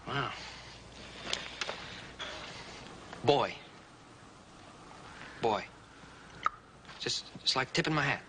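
An actor playing a patient man teaching sign language to a deaf child, coaxing her and repeating single words slowly, in a loud, noisy film soundtrack. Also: medium pitch, lightly acted.

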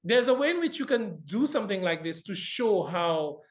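A man from the Caribbean, a film expert guest, talking at length in casual conversation, reflective with quick laughs. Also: sits high, big pitch swings. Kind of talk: casual chat.

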